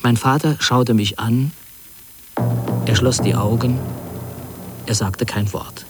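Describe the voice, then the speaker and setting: A middle-aged man narrating an autobiographical radio piece in a measured, precise literary manner. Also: medium pitch, soft background music.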